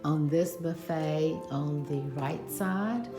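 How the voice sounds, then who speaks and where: Slow, low-voiced woman in an echoey room. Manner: slow, descriptive, with frequent fillers. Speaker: home decor vlogger. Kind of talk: casual home tour narration. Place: dining room at home. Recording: echoey room with music behind. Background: music playing from a smart speaker.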